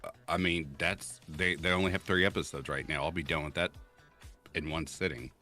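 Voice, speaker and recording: A man's voice: very deep voice, brisk, soft background music. A man telling funny stories in a casual stream chat, laughing often. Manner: animated, laughing storyteller. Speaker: stream guest.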